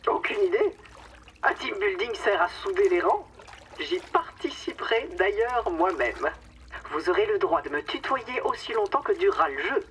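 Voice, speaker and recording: A menacing woman boss swings through highly animated, mockingly sweet phrases. She is grandly condescending, in the theatrical style of a dark radio comedy. The voice sits back from the mic amid clear watery noise. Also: normal speed. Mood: smug, commanding. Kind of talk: darkly comic radio drama acting. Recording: distant microphone, clear watery background noise.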